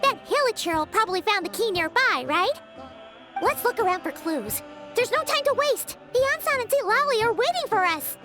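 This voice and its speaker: A woman voicing a tiny, chatty, cheeky fairy companion in a video game, with a squeaky childlike voice. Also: lively intonation, moderate pace, over loud music. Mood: exasperated, musing. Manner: exclamatory, informal, speaks of self in third person. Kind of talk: video game character acting.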